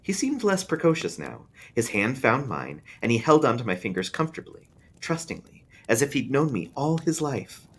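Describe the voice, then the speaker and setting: Casting: male library storyteller, mid-pitched, husky, hugely varied intonation, narrating an eerie tale. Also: big pitch swings, moderate pace. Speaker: librarian reading aloud.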